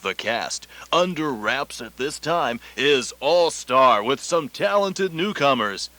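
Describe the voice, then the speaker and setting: An actor playing a slick entertainment reporter reading news in a radio satire. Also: male voice, mid-range voice, expressive, takes it slowly, bright, forceful tone, no room sound.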